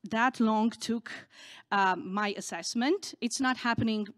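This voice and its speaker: Woman, native Romanian speaker, conference talk, podium mic, fairly high voice, dry sound. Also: no echo. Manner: candid, earnest, slightly halting. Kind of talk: personal story told at a conference. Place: conference stage in a hall. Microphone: podium microphone.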